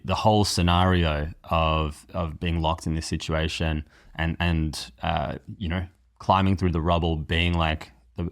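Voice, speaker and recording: A man's voice: a bass voice, no room sound, studio quality. A man speaking as an interview guest, reflective and conversational, piecing his thoughts together with some repeated words.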